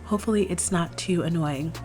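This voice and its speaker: A young woman hosting a DIY craft video, explaining each step in a chatty, relaxed way, her voice a little hoarse from a cold. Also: fairly low pitch, brisk. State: slightly hoarse, recovering from a cold. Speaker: DIY craft channel host. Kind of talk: casual step-by-step craft tutorial voice-over. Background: faint background music.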